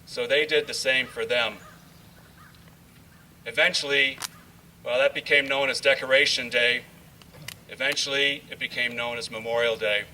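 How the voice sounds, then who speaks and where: A man addressing a ceremony as its host, speaking in a deliberate, halting way. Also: medium pitch, steady tone, pushed and bright. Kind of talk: public address with read-aloud passages. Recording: distant mic, faint background noise.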